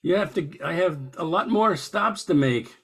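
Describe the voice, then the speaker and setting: Man playing a gravelly, impatient crook Santa. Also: high-pitched, lively intonation, normal speed, fairly bright tone, exaggerated voice. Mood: impatient, grudging. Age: older. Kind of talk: amateur radio play acting.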